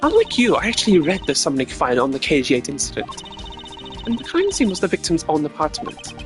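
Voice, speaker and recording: A formal young male prosecutor from a video game, acted out by a man, reasons in a measured, analytical way. Each phrase is deliberate, and music plays clearly behind him. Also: fairly high pitch, wildly swooping pitch, voice pushed up. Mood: assertive, reasoning.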